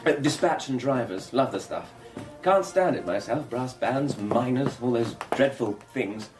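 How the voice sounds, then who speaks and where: An actor playing a snobbish, chummy office assistant with an airy upper-class British accent in a radio drama. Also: male voice, medium pitch, expressive, a little slow, natural voice. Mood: breezy. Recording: roomy, distant, music behind.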